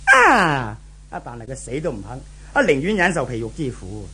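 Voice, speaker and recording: A menacing brothel boss in a seventies Hong Kong Cantonese radio serial. The delivery is sneering and theatrical, swinging widely in pitch. Grunts and sharp questioning barks punctuate the bullying. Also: male voice, wildly swooping pitch, moderate pace, a little hiss or hum, full character voice. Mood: angry scheming. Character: cruel, menacing brothel boss who bullies captives. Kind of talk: 1970s radio drama acting.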